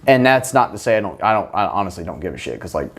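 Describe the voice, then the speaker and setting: A man's voice: sits low, big pitch swings, fast talker. A man hosting a podcast in casual chat, speaking bluntly and swearing freely. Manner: blunt, profane. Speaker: podcast host; military veteran.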